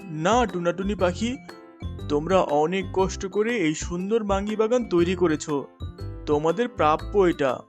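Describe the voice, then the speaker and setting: A benevolent eagle king of the birds from a kids' cartoon, played by a man. His voice is high for a male, encouraging and moralizing, over prominent music. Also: measured pace. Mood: kindly, encouraging. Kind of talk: children's cartoon character voice.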